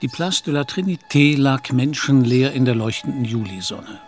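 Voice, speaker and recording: A man's voice: medium pitch, animated, moderate pace, faint music behind. A man narrating a literary radio drama in scripted storytelling style.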